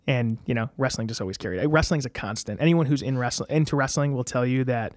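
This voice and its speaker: A man in his thirties talks through his stories in a relaxed, rambling way, with plenty of fillers and restarts. His voice is mid-pitched, and the recording is dry and close. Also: fast talker, no room sound. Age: 30s. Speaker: guest. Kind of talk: casual interview storytelling.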